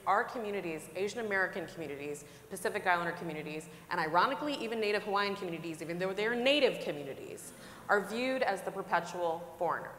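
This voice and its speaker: A woman's voice: fairly low pitch, lively intonation, quick pace, a bit bright, roomy sound, in a large hall, a little hiss or hum. A woman panelist speaking earnestly and firmly about her community's policy work. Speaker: panelist, advocate. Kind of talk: conference panel discussion. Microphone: lapel mic.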